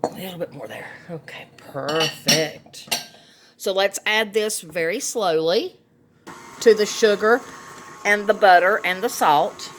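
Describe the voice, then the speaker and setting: A woman's voice: mid-range voice, slow. A woman giving a casual cooking demonstration, chatty and affectionate as she talks directly to viewers. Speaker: home cooking vlog host. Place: home kitchen, indoors. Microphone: phone camera on the counter. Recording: noisy home kitchen with clattering dishes.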